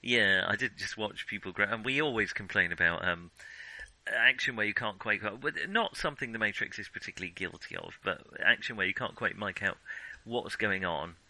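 A man's voice: on the low side, lively intonation, fairly quick, bright, forceful tone, no echo, low-quality recording. A man co-hosting a podcast, casually discussing films in an opinionated way, often stopping to rephrase his thought. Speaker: podcast co-host.

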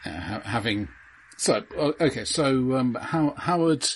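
A man co-hosting a film podcast, explaining his points casually and analytically in a halting way. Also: mid-range voice, lively intonation.

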